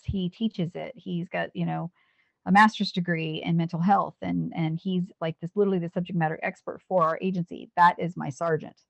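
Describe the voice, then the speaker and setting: A woman's voice: lowish voice, creaky, dry recording, muffled recording. A woman in her fifties, a police officer and Navy veteran, talking earnestly in an interview with frequent restarts. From United States. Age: 50s. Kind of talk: candid interview talk.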